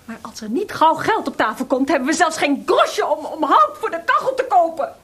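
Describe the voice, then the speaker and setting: An actress playing a composer's sharp-tongued, nagging wife, exasperated and mocking, in a radio play. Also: female voice, high-pitched, big pitch swings, fairly quick. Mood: angry, scornful. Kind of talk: radio drama acting.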